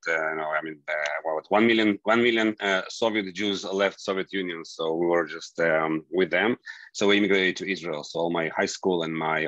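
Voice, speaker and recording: Middle-aged man from Georgia, very low voice, halting guest on a dry video call. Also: a bit bright, no room sound, muffled recording. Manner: halting, restarts phrases while searching for words. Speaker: scientist guest. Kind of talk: relaxed interview answers. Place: home office with bookshelves.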